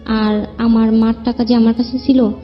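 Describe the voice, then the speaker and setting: A woman's voice: fairly high pitch, flat delivery, normal speed. A young woman with a Bangladeshi accent telling her own life story as a guest, in colloquial, loosely strung sentences. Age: young adult. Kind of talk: informal personal storytelling. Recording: dull lo-fi, long reverb, music behind.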